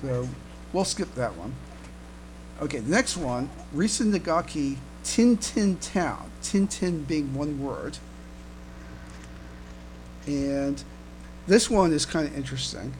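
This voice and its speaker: A man co-hosting a casual fan podcast, speaking haltingly and often repeating his words as he comments. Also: on the high side, animated, a little mellow. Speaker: podcast co-host. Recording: noisy home recording with hum.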